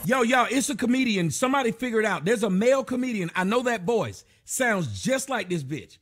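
Ein Mann mit sehr hoher, lebhafter und heller Stimme moderiert einen Livestream und macht sich mit spöttischen Zwischenrufen über eine Anruferin lustig. Sein Ton springt weit auf und ab. Ein leises Rauschen liegt unter der Aufnahme. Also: male voice, high voice, sing-song, a little fast, a little hiss or hum. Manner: mocking, loud asides. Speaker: live stream host. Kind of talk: mocking live-stream commentary.

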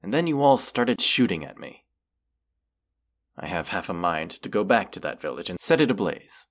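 A man voicing a proud, menacing wounded dragon in a fantasy audio roleplay, speaking in a haughty, deliberate, archaic way. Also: low-pitched, sing-song, a bit bright, heavily acted. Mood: proud, indignant.

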